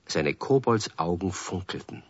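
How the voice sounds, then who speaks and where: A man with a husky voice narrating a children's radio play as a scripted story. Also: deep voice, animated, unhurried, dry recording, heavily acted. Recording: dry, muffled 1970s radio recording.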